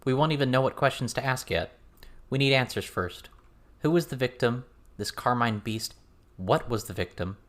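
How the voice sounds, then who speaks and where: A man's voice: mid-range voice, lively intonation, moderate pace, fairly bright tone, pitched above the performer's own voice, natural voice. A man voicing a serious, blunt teenage girl with a firm, questioning manner in an audiobook. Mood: firm, businesslike.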